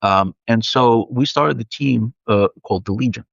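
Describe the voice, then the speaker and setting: A man's voice: medium pitch, moderate pace, gravelly, fairly bright tone, dry recording, highs cut off. A man whose first language is Russian, from the Soviet Union, a guest chatting thoughtfully on a video call with many fillers and restarts. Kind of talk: casual interview chat.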